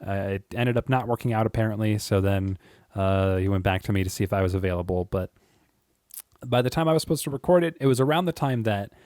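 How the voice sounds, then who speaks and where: A man with a deep voice, chatting casually and rambling to his viewers, with frequent chuckles and filler words. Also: quick pace, a little mellow, no echo, clean studio sound. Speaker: YouTube creator. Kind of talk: casual monologue to camera.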